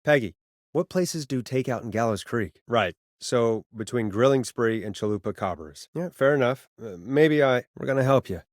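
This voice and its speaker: A man's voice: mid-range voice, expressive, moderate pace, no room sound, studio quality, lightly acted. A man voicing a harried late-night radio host in a video game, wry but caring, with a sardonic yet reassuring conversational delivery.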